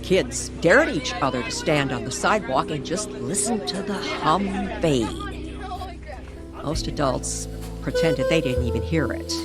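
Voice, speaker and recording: A woman narrating a mysterious story in old-time radio style, deliberate and suspenseful, with dramatic pauses. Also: sits low, lively intonation, a loud crowd. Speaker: co-narrator of an audio story series. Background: music under the voice.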